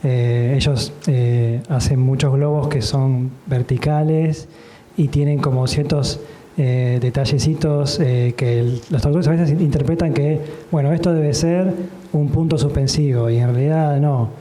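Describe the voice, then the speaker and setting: A man with an Argentine accent answering as a panelist, speaking in a relaxed way with many fillers. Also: mid-range voice, measured pace. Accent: Argentine Spanish. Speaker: panelist editor. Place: book fair hall.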